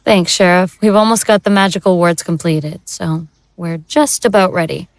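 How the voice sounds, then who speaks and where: A woman's voice: on the low side, normal speed, dry recording, natural voice, low-quality recording. A woman playing a matter-of-fact occult investigator in an audio drama.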